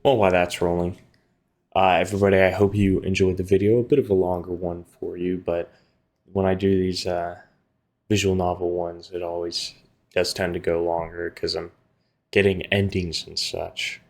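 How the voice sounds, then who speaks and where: A man's voice: sits low, level tone, normal speed, a little mellow. A man hosting a gaming video, reading visual novel narration aloud and adding casual commentary.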